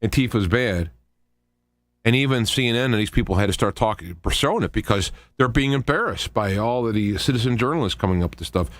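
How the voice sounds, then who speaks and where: A man hosting a talk radio show, giving informal political commentary in a halting, rambling way with conversational asides. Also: medium pitch, fairly bright tone, no echo.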